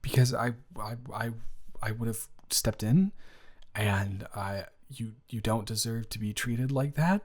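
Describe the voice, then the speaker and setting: A man's voice: mid-range voice, lightly acted. A young man playing a boyfriend's caring best friend in an improvised romantic audio roleplay, talking in a casual, stammering, awkwardly indignant way. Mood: apologetic, earnest. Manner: halting, stammering, informal, repeats himself.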